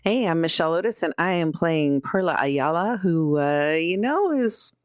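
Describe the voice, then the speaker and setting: Low-voiced woman doing show introductions. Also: lively intonation, moderate pace, clean tone, dry recording, clean studio sound. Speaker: announcer and player on an actual-play show.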